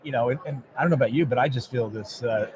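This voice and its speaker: A male lawyer and host chats casually at a convention booth. He talks earnestly and rambles, restarting his sentences often. His voice sits at a middling pitch, with clear floor noise all around him. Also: fast talker, darkish tone, poor audio.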